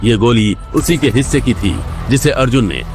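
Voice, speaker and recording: A man's voice: medium pitch, expressive, normal speed. Male dramatic story narrator over music. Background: background music.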